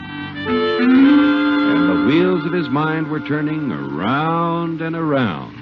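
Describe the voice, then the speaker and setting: Dramatic, swooping 1950s radio announcer man. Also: a little high. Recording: muffled old radio recording, loud music.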